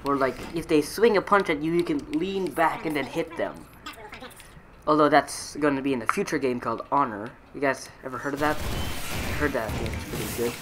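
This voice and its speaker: A man's voice: medium pitch, moderate pace, noisy background. A young man giving casual, rambling, self-mocking voiceover commentary on his own gameplay clips. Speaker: gaming video creator. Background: game music.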